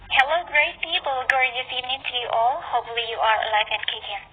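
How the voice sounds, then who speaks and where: A woman's voice: high voice, fast talker. A woman with an Indonesian accent sharing an upbeat, earnest opinion in a voice message. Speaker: discussion participant. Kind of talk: prepared voice message on a topic. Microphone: phone voice message. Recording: phone-like audio with clear noise.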